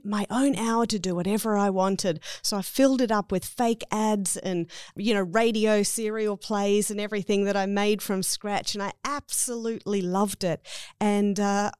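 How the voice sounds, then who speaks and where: A woman's voice: mid-range voice, darkish tone, clear, resonant tone, no echo. Aussie lady podcast host, chatty + keen, casual interview style, dry studio-like sound.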